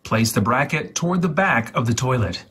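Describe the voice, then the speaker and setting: A man reading an instruction guide aloud in a mock-serious, theatrical, deliberate way, with a husky voice. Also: medium pitch, very animated, fairly bright tone. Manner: theatrical, deliberate, repeats words for emphasis.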